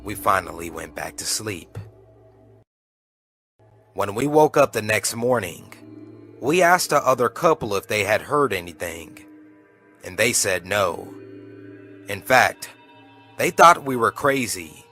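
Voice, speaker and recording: Bright-voiced man narrating a creepy story. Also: on the low side, sing-song, takes it slowly, long pauses. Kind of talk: scripted first-person horror story narration. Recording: music behind the voice. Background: background music.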